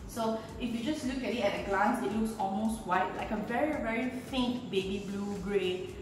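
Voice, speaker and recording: A woman's voice: medium pitch, moderate pace, far from the mic, noisy background. A woman in her thirties from Malaysia, chatty and explanatory, giving a walkthrough of a house being renovated, filmed with a handheld camera a few feet away. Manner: chatty and explanatory, with frequent "um" and gesturing. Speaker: home renovation vlogger. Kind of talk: casual house tour walkthrough. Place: empty house with bare rooms and stairwell, indoors. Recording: echoey empty rooms, voice a bit off-mic.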